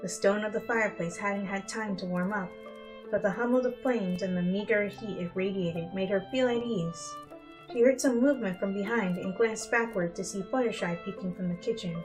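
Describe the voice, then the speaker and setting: Female storybook narrator, mid-pitched, dramatic reading style, soft instrumental music underneath. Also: moderate pace, low-quality recording. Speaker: fan fiction reader. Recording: music bed behind the voice.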